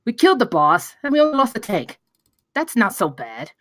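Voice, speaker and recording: Woman playing jumpy, protesting gamer dad, high voice. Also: huge pitch swings, moderate pace, no echo, studio quality. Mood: casual, self-justifying. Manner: pleading, exasperated, protesting. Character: frightened, defensive gamer dad Benny, indignant man. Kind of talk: comedy screenplay table read.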